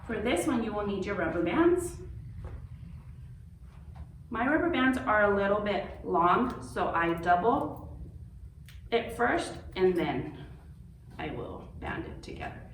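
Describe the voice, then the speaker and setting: A woman's voice: medium pitch, sing-song, takes it slowly, pauses often. A woman giving an informal step-by-step craft demonstration as an instructor, reassuring and often checking in with an "okay". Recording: roomy, distant mic with faint noise.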